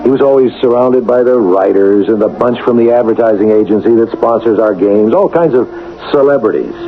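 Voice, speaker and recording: An actor playing a folksy, reflective football coach who narrates a radio drama in a conversational, musing way. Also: male voice, lowish voice, a little fast, natural voice. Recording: tinny vintage radio broadcast with music.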